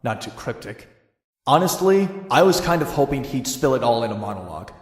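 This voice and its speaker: Casting: male actor as tough young comic-book antihero, lively dry delivery, cocky wisecracks, reverberant sound. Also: mid-range voice, fairly quick, natural voice. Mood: mocking. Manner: wry, wisecracking, dry asides. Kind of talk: motion comic dialogue and first-person narration.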